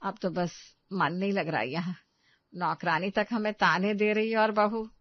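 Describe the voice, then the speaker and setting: A woman's voice: mid-range voice, steady tone, moderate pace, no echo, lo-fi sound, natural voice. A woman voicing a tender, hurt old grandmother in a storybook tale, plaintive and motherly. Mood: hurt, weary. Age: older.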